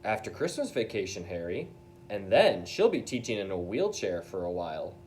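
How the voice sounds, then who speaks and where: A man voicing a calm, kindly school principal in a children's storybook, measured, explanatory and reassuring. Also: on the low side, slight background noise. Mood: explaining.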